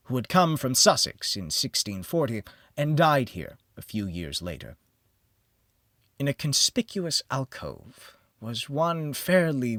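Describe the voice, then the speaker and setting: Male narrator, gothic horror audiobook, solemn and theatrical, widely swinging intonation, unhurried, deliberate pauses. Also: medium pitch, a bit bright, dry recording, studio quality.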